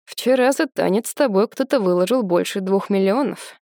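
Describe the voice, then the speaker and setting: Woman narrator doing a polite middle-aged gentleman, calm and businesslike, mellow tone, dry close sound. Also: mid-range voice, moderate pace, no room sound, lightly acted.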